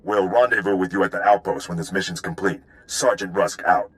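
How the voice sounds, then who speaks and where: An actor playing a stoic, hardened sergeant in a video game, clipped, formal and matter-of-fact. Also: male voice, low-pitched, a little fast, a bit bright, clean tone. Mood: businesslike. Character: stoic, hardened military sergeant, loyal ground soldier. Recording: music behind the voice.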